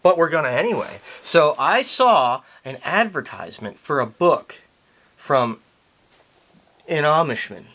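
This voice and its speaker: A man hosting a casual chat, telling a story with playful deadpan teasing. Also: fairly high pitch, measured pace. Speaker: host.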